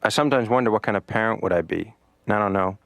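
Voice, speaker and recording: A deadpan cartoon patient tells his jokes in a low, flat, matter-of-fact voice. He pads his lines with "you know" and lets the punchlines drop quietly. The sound is dry and close. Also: racing, creaky, no room sound. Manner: deadpan stand-up delivery, many "you know"s. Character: comedian patient on the therapy couch. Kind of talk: stand-up in a cartoon.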